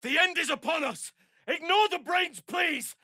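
A man's voice: very high-pitched, measured pace, sharp tone, no echo, studio quality, full character voice. An actor playing an urgent, commanding male spellcaster in a fantasy game, exclaiming theatrically. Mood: urgent, alarmed. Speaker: video game character voice actor.

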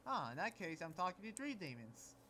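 A man playing a hesitant, trusting demon researcher in an improvised game roleplay, talking in a halting, hedging way. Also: high-pitched, big pitch swings, pushed and bright, exaggerated voice. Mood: surprised, hesitant. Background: background music.

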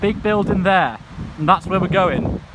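A man's voice: high voice, lively intonation, measured pace, noisy background. A young man hosting a casual vlog, talking chatty and upbeat into a phone held at arm's length outdoors, laughing often. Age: young adult. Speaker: vlogger host. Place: outdoors in hills and ruins. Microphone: handheld phone at arm's length.

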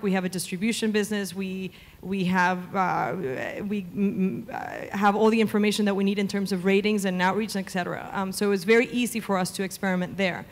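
A woman in her thirties from Venezuela, a media executive answering onstage interview questions into a handheld mic, fluent with frequent "um" fillers. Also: mid-range voice. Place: conference stage before a seated audience. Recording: reverberant conference hall.

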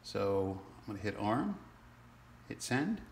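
A man demonstrates a product in a casual tutorial style. His voice sits fairly low with a clearly creaky edge. He goes step by step in an informal, halting way, recorded in a roomy-sounding space. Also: very animated. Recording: roomy sound with faint background noise.

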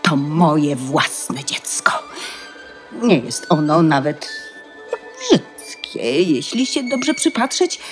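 A woman playing a proud, protective mother duck in a fairy-tale radio play, bossy and caring as she instructs, with a husky, breathy voice. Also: deep voice, sing-song, faint music behind, exaggerated voice. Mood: proud, fond. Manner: instructing, measured, emphatic.

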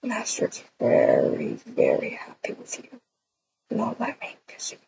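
A woman's voice: on the high side, huge pitch swings, slight background noise, exaggerated voice. A woman doing a whispering male yandere captor. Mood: menacing. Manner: soft, slow, breathy whispering. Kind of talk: amateur voiced roleplay. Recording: dull lo-fi recording.

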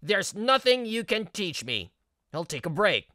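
Woman doing a snotty young office guy, defensive and dismissive, swingy pitch, manga dub. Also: big pitch swings, unhurried, pushed and bright, dry recording, studio quality. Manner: dismissive, irritated. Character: cocky, defensive young male rookie office worker.

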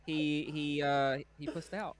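A man's voice: fairly high pitch, steady tone, takes it slowly, noisy background. Stammering man joking on a call. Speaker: panel guest. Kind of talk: casual chat on a video call.

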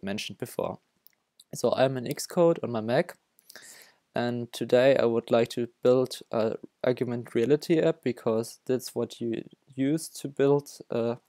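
German-accented man, slow and halting. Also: mid-range voice, dark tone, dry recording, studio quality. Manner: halting, many restarts and self-corrections. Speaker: developer tutorial host. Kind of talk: screen-recorded coding tutorial.

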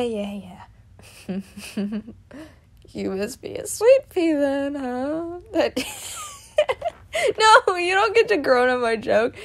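Woman playing a breezy, high-voiced young male adventurer. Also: heavily acted. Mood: amused, teasing. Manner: breezy, giggly, expressive, chatty. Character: playful, excitable young male adventurer, affectionate with partner. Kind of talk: audio roleplay acting.

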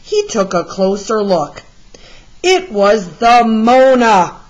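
A woman reading a picture book aloud to children in an expressive, deliberate storytelling style, like a teacher. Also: on the high side. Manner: deliberate, with animated emphasis for young listeners. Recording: slightly muffled, lo-fi sound.

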